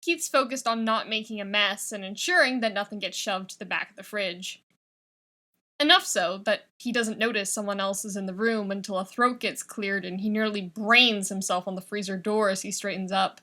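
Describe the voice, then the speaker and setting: A woman reading a piece of fiction aloud as an expressive scripted narration. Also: mid-range voice, moderate pace. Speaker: fan fiction reader.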